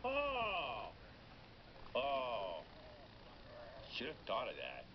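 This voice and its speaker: A man voicing a nervous, literal-minded llama, awkward and friendly, halting and repeating himself, as a character on a children's radio phone-in. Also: medium pitch, huge pitch swings, voice pushed down, heavily acted. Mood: sheepish, nervous. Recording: muffled, noisy re-recording off a speaker.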